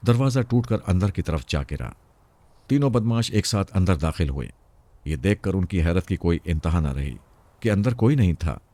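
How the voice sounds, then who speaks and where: A man's voice: deep voice, big pitch swings, moderate pace, dark tone, dry recording. A man narrating a scripted suspense story as a storyteller.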